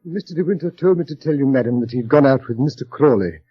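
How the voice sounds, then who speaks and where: An actor playing a deferential, kindly old butler in a 1930s radio drama, formal and courteous with a husky voice. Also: male voice, mid-range voice, quick pace, no room sound, close to an everyday voice. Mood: deferential, polite. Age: older. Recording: dull vintage radio broadcast.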